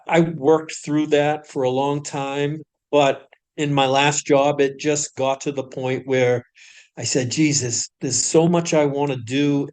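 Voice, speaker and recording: A middle-aged man as a podcast guest, speaking reflectively and hesitantly, with pauses and restarts as he recounts his career. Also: medium pitch, darkish tone. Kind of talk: reflective conversational storytelling.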